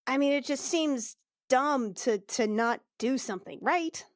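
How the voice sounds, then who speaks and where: A woman's voice: high-pitched, slow pace, no room sound, clean studio sound, voice pushed up. A woman playing a self-doubting, plain-spoken young princess and healer in a tabletop game, casual, hesitant and wry. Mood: frustrated.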